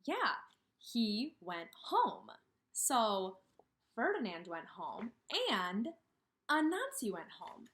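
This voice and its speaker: A woman teaching kindergarteners through a read-aloud, speaking in simple, deliberate phrases and posing questions to the children. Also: medium pitch, very animated, a bit bright. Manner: questioning, pausing for children to answer.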